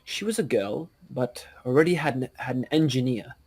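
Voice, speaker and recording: A man reading as the solemn storybook narrator of a fantasy epic, earnest, declamatory and a little halting. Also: mid-range voice, animated, a little mellow, slightly rough.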